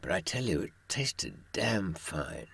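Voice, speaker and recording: A man's voice: low voice, very animated, a little slow, creaky, a bit bright, slightly rough. An older man, telling anecdotes and giving advice from experience in a wry, droll raconteur's manner. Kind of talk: anecdotal storytelling to listeners.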